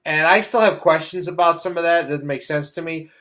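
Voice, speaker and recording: A man's voice: fairly high pitch, steady tone, brisk, a bit bright. A middle-aged man hosting a casual movie review, ranting in a blunt, scornful, exasperated way. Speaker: host of a movie review show. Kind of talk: casual opinionated movie rant.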